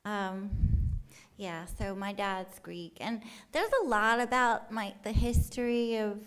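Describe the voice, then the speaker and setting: Slow-talking woman, offhand and rambling. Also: medium pitch, fairly even intonation, fairly bright tone, clean tone. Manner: rambling, offhand, colloquial, joking. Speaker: artist giving a talk about their work. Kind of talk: casual, unscripted artist talk with audience questions. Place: live talk in front of an audience.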